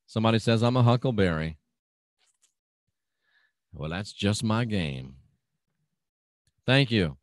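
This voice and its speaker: A man's voice: on the low side, lively intonation, slow, long pauses, no echo, studio quality. A man hosting his own radio show, chatting casually and reading out listener comments in a folksy, agreeable way. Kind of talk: casual talk reading out live chat comments.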